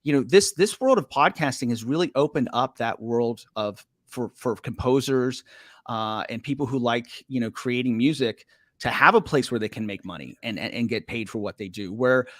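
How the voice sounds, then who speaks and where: A man at a middle pitch, hosting a podcast in a loose, joking way. He hesitates often with uhs. The sound is dry and close. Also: normal speed, no room sound. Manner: relaxed, hesitant, many uhs, jokey asides. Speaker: podcast host. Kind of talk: casual podcast conversation.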